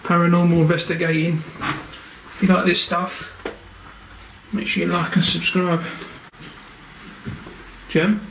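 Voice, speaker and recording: Higher-voiced man in echoey rooms. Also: monotone, slow, vocal fry, pauses often. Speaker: paranormal explorer, channel host. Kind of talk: casual exploring vlog narration. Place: indoors, walking through empty derelict building. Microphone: handheld camera. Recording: echoey empty rooms, background noise.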